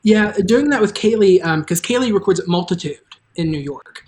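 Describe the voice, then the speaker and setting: A show creator hosting a live stream, talking casually and haltingly about making the show, with offhand asides. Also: mid-range voice, expressive, a little mellow. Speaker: show creator and table read host.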